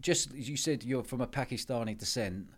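A man's voice: mid-range voice, brisk. A man hosting a podcast, upbeat and conversational, often calling his guest mate. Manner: upbeat, lively, says mate a lot. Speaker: podcast host.

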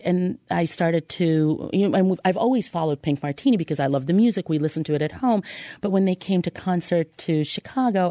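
A woman telling a personal story as a podcast guest, halting at times and repeating words for emphasis. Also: sits low, warm tone, no echo, studio quality.

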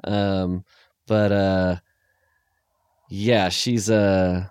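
A man with a fairly low voice chats casually as a film podcast co-host. His phrasing is hesitant, often trailing off before it picks up again. The sound is dry, with very little room on it. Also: flat delivery, extremely slow, darkish tone, smooth, no room sound.

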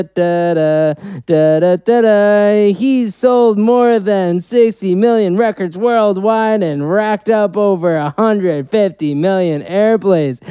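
A man's voice: high-pitched, mellow, smooth, no room sound. A man hosting an interview, introducing his guest with enthusiasm and asking questions casually. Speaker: interview host. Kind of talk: podcast interview.

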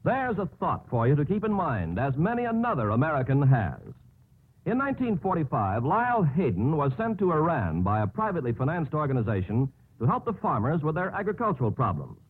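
A male announcer reads scripted narration in 1950s radio style. His voice is fairly high and husky, with hugely animated intonation. The recording is narrow and muffled. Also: a little fast.

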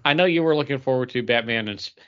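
A man's voice: medium pitch, quick pace, fairly bright tone, lo-fi sound. A man talking casually about comics as a podcast co-host.

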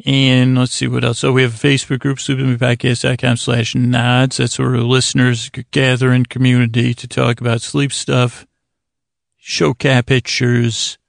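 A man hosting a bedtime podcast in a gravelly voice, rambling and hesitant, self-deprecating, wandering off into tangents. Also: medium pitch, little pitch movement, moderate pace, no echo, studio quality. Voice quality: gravelly, creaky. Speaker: podcast host.

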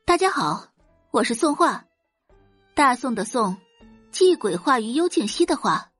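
A composed young woman , voiced by a woman in an audio drama, speaking simply and evenly, a little higher than the narration. The voice is husky and dry with faint music behind. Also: sing-song, a little slow, a bit bright, full character voice.

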